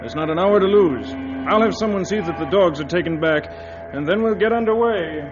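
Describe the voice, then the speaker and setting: An actor playing a businesslike, polite navy commander in a 1950s radio drama. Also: male voice, sits high, expressive, fairly quick, over music. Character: brisk, courteous male navy destroyer commander. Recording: noisy, dull old radio recording.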